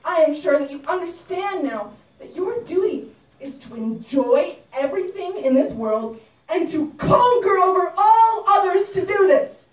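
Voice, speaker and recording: A woman's voice: very high voice, sing-song, unhurried, darkish tone, heavily acted. A woman voicing a furious, boastful demon king and father in a puppet show, with commanding, theatrical delivery. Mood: stern, smug. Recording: echoey room, far from mic.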